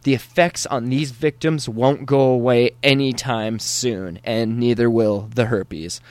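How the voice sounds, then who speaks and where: A man's voice: mid-range voice, steady tone, a little slow, no room sound. A man hosting a podcast, reading news stories and riffing on them with crude, irreverent jokes and frequent laughter. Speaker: podcast host.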